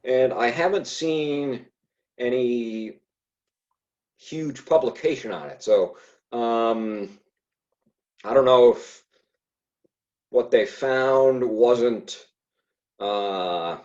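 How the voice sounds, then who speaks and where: Slow, hesitant man who stutters, lo-fi. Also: medium pitch, warm tone. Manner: hesitant, many fillers and restarts. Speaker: podcast guest. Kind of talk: casual conversation.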